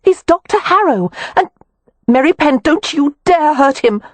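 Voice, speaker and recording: Reader doing prim young lady, high and breathy, indignant, lively swings. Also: a little slow, no echo. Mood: alarmed. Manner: gasping, protesting, indignant. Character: young noblewoman, composed but exasperated.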